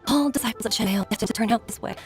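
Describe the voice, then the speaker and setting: A woman's voice: a little high, racing, close to an everyday voice. An actress playing an earnest woman healer in a game cutscene, sounding concerned as she speaks. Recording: game audio with loud music.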